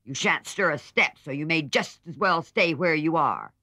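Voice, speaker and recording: A man voicing an impatient, sharp-tongued teenage girl in a storybook reading. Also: on the high side, expressive, dry recording, studio quality, voice pushed up, full character voice. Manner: brisk and sharp.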